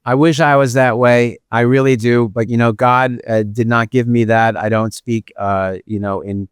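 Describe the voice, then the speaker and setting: A man's voice: mid-range voice, fairly even intonation, normal speed, clear, resonant tone, no room sound, studio quality. A man with a nasal New York accent, chatting as a self-deprecating podcast guest who often repeats his phrases. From Brooklyn, New York. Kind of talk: casual interview conversation.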